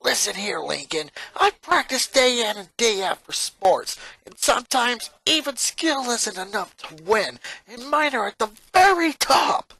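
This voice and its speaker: A story reader voicing a cocky, hot-tempered sporty tomboy girl with a brash, forceful manner. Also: medium pitch, lively intonation, a little slow, vocal fry, hardly any pauses, pitched above the performer's own voice, heavily acted, poor audio. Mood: threatening.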